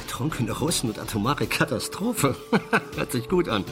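An actor playing a brisk, hard-bargaining tabloid editor-in-chief in a radio drama, curt, businesslike and self-important. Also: male voice, mid-range voice, big pitch swings, over music, natural voice. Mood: haggling, amused.

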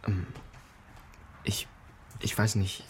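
A jittery young violinist who stumbles over his answers and trails off in mid-sentence. His voice sits a little low for a man. This is a radio crime drama. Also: level tone, extremely slow, a little hiss or hum. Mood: uneasy. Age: young adult. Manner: hesitant, stammering, nervous. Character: nervous young male violin student. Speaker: music student and violinist.

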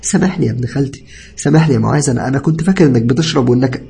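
A man's voice: medium pitch, rapid-fire, vocal fry, mellow, slightly rough, voice pushed up. A man voicing a guilt-ridden young cousin who cries, stammers and pleads in Egyptian Arabic, in an audio story. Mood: tearful, guilty. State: crying. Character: distraught tearful young male cousin, confessing guiltily.

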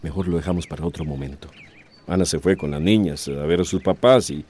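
An actor playing a reluctant, evasive grown-up son in a radio drama, with a Central American accent. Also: male voice, very deep voice, a little fast, a little mellow, a little hiss or hum. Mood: surprised, reluctant. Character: reluctant, evasive adult son, a family father.

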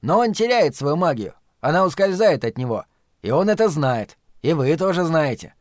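A man voicing an older academic in an audiobook, calm and wry, speaking with courteous, articulate persuasion. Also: fairly high pitch, normal speed, no room sound, studio quality. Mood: earnest, urging.

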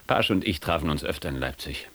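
A man's voice: rumbling low, fairly bright tone, reverberant, exaggerated voice. A man acting a wry, bookish East German drinker in a radio play, storytelling with mock-learned irony. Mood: reflective. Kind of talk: radio play narration and dialogue.

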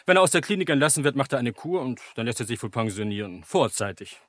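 An actor playing a brisk, chatty company employee in a radio drama, dryly ironic and matter-of-fact. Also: male voice, mid-range voice, animated, fairly bright tone. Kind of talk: German radio drama dialogue.

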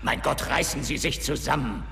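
An actor playing an impatient, domineering company boss in a German radio drama, brusque and given to cursing. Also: male voice, medium pitch, moderate pace, vocal fry, sharp tone, a little hiss or hum. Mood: scornful, angry. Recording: long reverb, music underneath.

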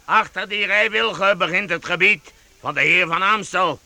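Vagabond chieftain: high-pitched, gravelly, boisterous and pompous, theatrical radio drama delivery. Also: male voice, normal speed, sharp tone, no echo, heavily acted. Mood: taunting. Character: boisterous mocking one-eyed vagabond chief, self-styled duke. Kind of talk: Dutch radio play acting.

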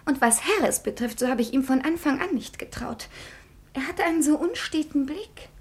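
An actress playing a brave, devoted young daughter in a 1950s radio play, wistful and theatrical, with breathy delivery. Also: female voice, high-pitched, animated, moderate pace. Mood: uneasy but determined.

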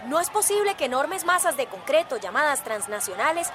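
Very high-voiced defiant female protester. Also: sing-song, brisk. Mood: indignant, earnest. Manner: declamatory, emphatic. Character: defiant, passionate village woman leading a water protest. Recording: noisy street crowd behind.

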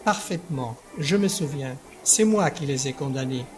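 An actor playing a self-satisfied, pompous magistrate in an audio drama. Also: male voice, mid-range voice, expressive, normal speed, close to an everyday voice. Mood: smug.

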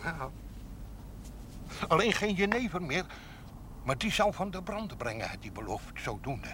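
An actor playing a lonely, gruff rural man who speaks in short, plain, colloquial phrases in a Dutch period TV drama. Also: on the high side, sing-song, a little slow, background noise. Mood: tender, mournful. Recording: 1970s TV soundtrack with music.

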